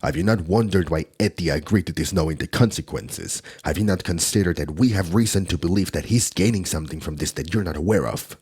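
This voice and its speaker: A man playing a stern male demon enforcer who is gruff but protective, speaking in a measured, scolding way in an ASMR audio roleplay. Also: darkish tone. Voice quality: deep gravelly husky voice. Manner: scolding, measured, low-key delivery.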